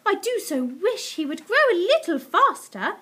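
A woman performs a sweet, besotted lady from a children's storybook. The voice is high and swoops widely, with a bright edge. She gushes and exclaims, full of adoring excitement. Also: normal speed, full character voice. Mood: wistful. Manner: gushing, exclamatory, emphatic.

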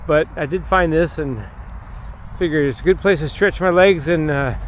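A man's voice: fairly high pitch, moderate pace, slight background noise. Middle-aged man vlogging outdoors. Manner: relaxed, thinking aloud with many fillers. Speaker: metal detecting vlogger. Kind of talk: casual on-the-go narration. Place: outdoors in parks and playgrounds. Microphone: action camera held or chest-mounted.

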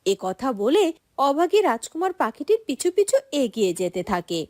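A woman's voice: sits high, very animated, moderate pace, noisy background. A woman storyteller reading scripted narration for a cartoon fairy tale.

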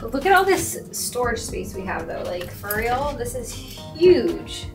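Young female vlogger, a touch high-pitched, bubbly and casual, over background music. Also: very animated, a little slow. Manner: bubbly, showing things off. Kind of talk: casual travel vlog chat. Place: aboard a cruise ship, in a cabin.

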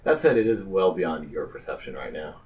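A man narrating a tabletop game as game master, with halting, deliberate phrasing. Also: deep voice, big pitch swings, a little mellow, far from the mic.

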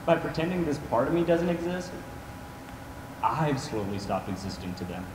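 A young man playing a wounded, rejected young man in a stage play, halting and confessional. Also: a little high, sing-song. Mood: pained, confessional. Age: young adult. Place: theater stage, indoors. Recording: echoey theater, distant, noisy.

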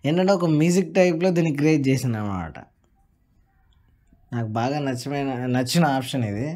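A man with a Telugu accent talks through an app demo in a casual way. His voice is mellow and soft-edged, and he moves between his own language and English. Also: medium pitch, a little fast. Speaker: tutorial host. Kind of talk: casual screen-recorded app demonstration.

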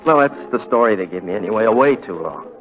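A man's voice: fairly low pitch, animated, fast, faint music behind, close to an everyday voice. An actor playing a bitter, self-pitying, paranoid young man in a 1940s radio melodrama, sarcastic and repeating himself. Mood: paranoid, agitated. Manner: sarcastic, muttering repeats, dramatic. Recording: dull vintage 1940s radio recording.

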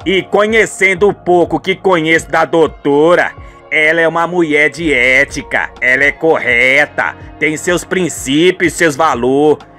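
A man's voice: a little high, unhurried, sharp tone. A reproachful, moralising family man from a radio soap, acted by a male narrator. He scolds frankly, with music faintly behind him.

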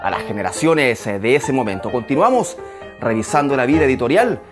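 A man's voice: mid-range voice, sing-song, fairly quick, music in the background. A man in his thirties with a Chilean accent, hosting and narrating a scripted documentary.

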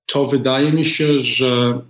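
Middle-aged male guest, measured and hesitant, echoey lo-fi video call audio. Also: medium pitch, steady tone, a bit bright. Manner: deliberate, many hesitations. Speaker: guest, former ambassador. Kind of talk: measured political analysis.

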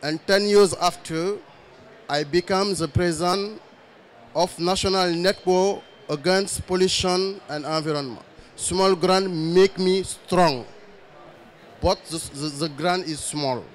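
A man from Senegal addresses a conference panel from the audience. His English is simple and halting. He speaks very slowly, in a somewhat high voice, into a handheld mic in a large pavilion. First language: French. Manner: slow, searching for words in simple English. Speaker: audience member asking a question. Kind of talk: halting spontaneous remarks.

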